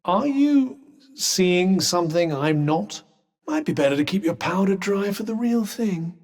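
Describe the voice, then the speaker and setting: An actor voicing a snooty, sardonic talking magical cuff in a video game, with a refined British accent and a dry, pompous manner. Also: male voice, high-pitched, animated, a little slow, a little mellow. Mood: mocking, goading. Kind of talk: game companion combat barks. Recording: long hall-like reverb.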